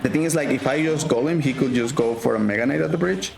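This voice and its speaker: Gravelly Mexican-accented man commentating gameplay. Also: medium pitch, fast, darkish tone, roomy sound, faint music behind. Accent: Mexican Spanish. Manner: casual, thinking aloud, frequent fillers. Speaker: gaming YouTuber.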